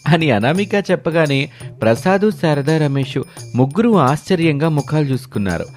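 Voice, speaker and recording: A man's voice: a little high, animated, normal speed, a little mellow, soft background music. A man narrating a scripted moral story as its storyteller.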